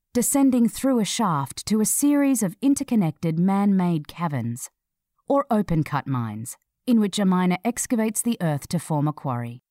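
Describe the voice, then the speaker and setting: A woman narrating a scripted feature article in a measured, clearly read delivery. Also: mid-range voice, mellow, no room sound, clean studio sound.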